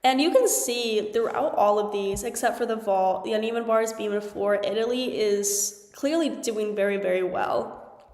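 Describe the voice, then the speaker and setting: A woman's voice: mid-range voice, normal speed, darkish tone. A young woman hosting a sports podcast, stuffy from a cold, chatting casually with lots of "like" and "um". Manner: chatty, frequent "like" and "um" fillers. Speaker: podcast host. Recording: echoey room with long reverb.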